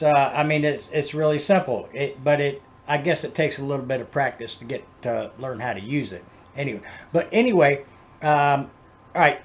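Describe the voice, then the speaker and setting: A man hosting a music reaction channel, chatting casually and explaining things in a rambling, unscripted way. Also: on the high side. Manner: rambling, loosely improvised.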